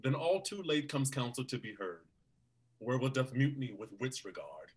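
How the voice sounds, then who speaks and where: A loyal duke who counsels caution in even, measured verse. His voice sits at a middle pitch for a man, and he speaks over a video call. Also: slightly rough. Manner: measured, advising. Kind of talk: Shakespeare staged reading.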